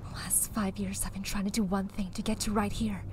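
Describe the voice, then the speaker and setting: A woman's voice: mid-range voice, level tone, brisk. A woman dubbing a guarded, steely female spy with buried tenderness in an amateur dramatic film fandub. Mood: sad, subdued. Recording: music behind the dubbed voice.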